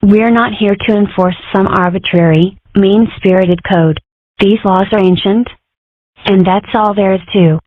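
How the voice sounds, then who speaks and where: A woman's voice: mid-range voice, steady tone, a little slow, dry recording, natural voice. Woman as an earnest cartoon animal, phone-like sound. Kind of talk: comic dub voice acting. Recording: dry, phone-like narrow sound.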